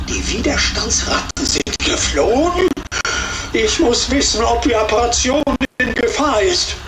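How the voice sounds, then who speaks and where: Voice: medium pitch, animated, measured pace. An actor playing a menacing, imperious military villain in a war video game, giving urgent orders. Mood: agitated, menacing. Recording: echoey, distant intercepted transmission with music.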